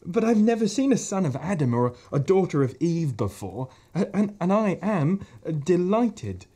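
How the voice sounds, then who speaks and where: A man's voice: high voice, sing-song, normal speed, mellow, pitched above the performer's own voice. A man voicing a timid, polite male faun who stammers and repeats his words anxiously. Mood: flustered.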